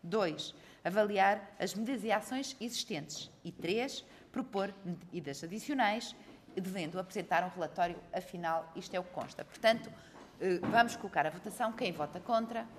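A woman with a northern Portuguese accent chairing a parliamentary committee, reading out agenda items in a brisk, formal, procedural way. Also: medium pitch, a little hiss or hum. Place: parliamentary committee room with some echo.

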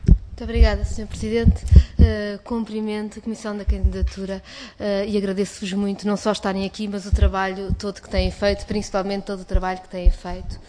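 Portuguese woman in parliament, measured formal talk, bit of faint noise behind. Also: mid-range voice, completely flat delivery. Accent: European Portuguese. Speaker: member of parliament. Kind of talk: formal committee speech.

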